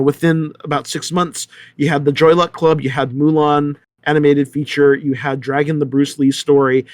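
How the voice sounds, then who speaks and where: A man's voice: fairly high pitch, no echo. Man hosting a discussion, dry close sound. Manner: halting, repeats words, thinking aloud. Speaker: discussion host. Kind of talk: casual panel discussion.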